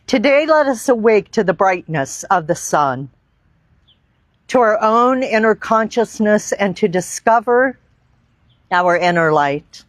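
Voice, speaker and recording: A woman teaching yoga, leading a guided meditation in deliberate, reverent phrases with long pauses. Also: medium pitch, lively intonation, no room sound. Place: outdoor park.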